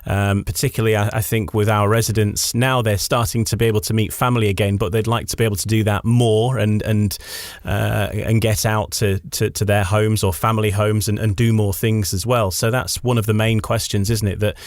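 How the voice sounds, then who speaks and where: A man's voice: fairly low pitch, little pitch movement, fairly quick, few pauses, dry recording, studio quality. A man hosting a radio interview, introducing and questioning his guest in long, winding sentences.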